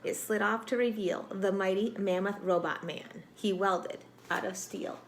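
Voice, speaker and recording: A woman reading a picture book aloud to children like a teacher, expressive and dramatic, with exclamations and prompting questions. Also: medium pitch, a little slow, a little hiss or hum, rough recording. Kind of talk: read-aloud storytelling with questions to young listeners.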